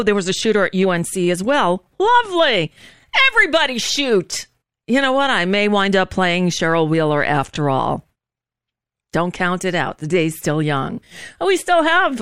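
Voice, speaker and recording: A woman's voice: medium pitch, lively intonation, moderate pace, fairly bright tone, smooth, no echo, studio quality. A woman hosting a talk show, rambling through sardonic political commentary with frequent restarts. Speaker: host. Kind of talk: casual political talk monologue. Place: living in Florida.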